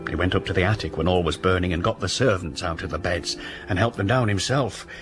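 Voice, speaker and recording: An actor playing a deferential country innkeeper who gossips with hesitant, chatty speech. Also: male voice, sits low, a little fast, soft background music, natural voice. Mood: gossipy. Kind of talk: radio drama dialogue.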